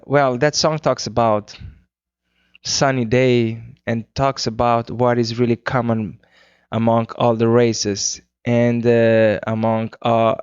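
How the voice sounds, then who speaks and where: Man, Cape Verdean accent, English as third language, unhurried, pauses for words, smooth voice, muffled radio sound. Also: mid-range voice, no room sound. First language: Cape Verdean Creole. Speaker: guest singer-songwriter. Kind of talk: interview answers. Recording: muffled 2009 radio interview.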